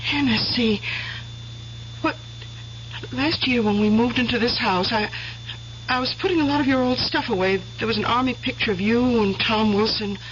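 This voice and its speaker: An actress playing an affectionate, curious young expectant wife in a radio drama. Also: female voice, on the high side, moderate pace, close to an everyday voice. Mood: curious, probing. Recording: dull hissy old radio recording.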